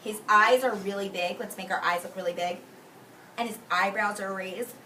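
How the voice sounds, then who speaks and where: A woman teacher reading a picture book aloud to young children, expressively and deliberately, drawing out key words and explaining simply. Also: mid-range voice, sharp tone. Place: indoors in a classroom with young children. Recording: roomy distant mic with faint background noise.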